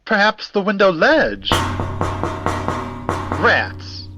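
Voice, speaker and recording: A man's voice: high voice, huge pitch swings, very slow, muffled recording, over music, full character voice. A man playing a prim, earnest eccentric in a fiction podcast, pompous and florid, with formal diction. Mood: eager. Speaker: actor in an audio drama.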